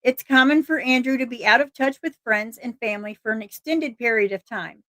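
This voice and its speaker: A somewhat high-pitched woman hosts a casual livestream, reading flyers and chatting with viewers. Her voice is a little hoarse and she laughs easily, stumbling and restarting words. The sound is dry and close, with no room echo. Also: brisk, fairly bright tone. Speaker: livestream host reading missing persons flyers.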